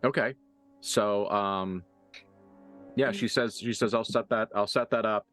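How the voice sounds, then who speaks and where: A man's voice: fairly low pitch, a little slow, a bit bright, soft background music. A man acting as game master, improvising narration for a tabletop roleplaying game in a casual, mildly profane way.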